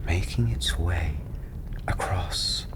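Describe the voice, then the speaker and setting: A man softly narrating a fantasy story in ASMR style, breathy and often half-whispered, soothing and unhurried. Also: deep voice. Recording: steady ambient background noise.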